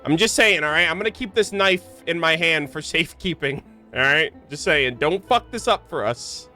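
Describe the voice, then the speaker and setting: A man's voice: on the high side, expressive, moderate pace, pushed and bright, soft background music. A man streaming a game, giving casual, slangy, joking reaction commentary.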